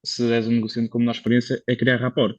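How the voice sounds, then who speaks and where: A man's voice: medium pitch, monotone, fast talker, dry recording, lo-fi sound. A Portuguese man, a car consultant, talking casually as a podcast guest, explaining things at length with many fillers and restarts. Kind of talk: casual conversational interview.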